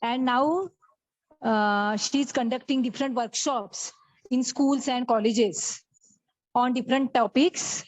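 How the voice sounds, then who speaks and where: A woman's voice: fairly high pitch, darkish tone. A woman with an Indian accent speaking as a teacher hosting an online session, addressing a group informally over a phone. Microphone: phone on an online call. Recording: muffled lo-fi online call audio.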